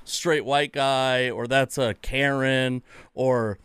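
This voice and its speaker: Male podcast host, thirties, smooth voice, dry recording, casual rambling talk. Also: medium pitch, fairly even intonation, takes it slowly, fairly bright tone, no echo. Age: 30s. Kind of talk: casual conversational interview.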